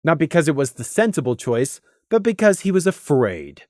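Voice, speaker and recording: A man reading a scripted story as a narrator, deliberate and expressive, with dramatic emphasis. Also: fairly high pitch, no echo, clean studio sound. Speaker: audiobook narrator.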